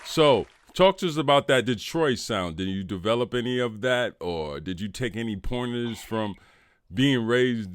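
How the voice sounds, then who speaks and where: A middle-aged man hosting a casual interview podcast, talking in an animated, effusive way with plenty of slang. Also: medium pitch, moderate pace, clear, resonant tone, no echo, studio quality.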